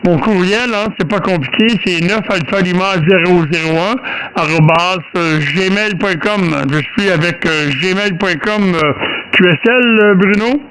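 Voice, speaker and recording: High-pitched man on amateur radio. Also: unhurried, fairly bright tone, a little hiss or hum, low-quality recording. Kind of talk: casual radio contact chat.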